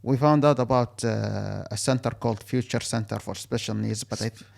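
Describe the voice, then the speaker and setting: Creaky-voiced man, halting. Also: fairly low pitch, fairly even intonation, darkish tone. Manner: halting, searching for words. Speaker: interview guest. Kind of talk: personal story told in conversation.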